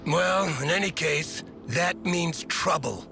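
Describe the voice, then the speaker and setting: A gravelly, high-pitched hot-headed general. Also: male voice, takes it slowly. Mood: grim. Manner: gruff, commanding, blunt. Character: hot-headed, stubborn hawkish American army general. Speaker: military general. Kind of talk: dramatic video game voice acting. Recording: loud music behind the voice.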